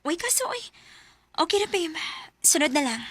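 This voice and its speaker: A woman's voice: very high-pitched, sing-song, moderate pace, very noisy. An actress playing a young student, a devoted and needy girlfriend and daughter, in a Bisaya radio drama with a Cebuano Filipino accent. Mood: accommodating. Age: young adult.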